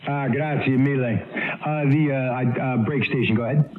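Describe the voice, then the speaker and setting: A man's voice: mid-range voice, fairly even intonation, normal speed, slight background noise. Tinny radio voice of an effusive American man. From United States. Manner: effusive, repeats phrases for emphasis. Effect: radio transmission. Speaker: amateur radio operator. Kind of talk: casual ham radio chat. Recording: narrow, tinny shortwave radio transmission.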